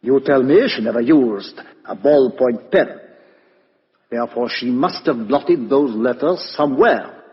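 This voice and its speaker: An actor playing a shrewd, courteous and methodical foreign detective in a 1950s radio play, speaking deliberately with dramatic rhetorical questions. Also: male voice, medium pitch, natural voice. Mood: insistent, reasoning. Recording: muffled vintage radio broadcast, hall reverb.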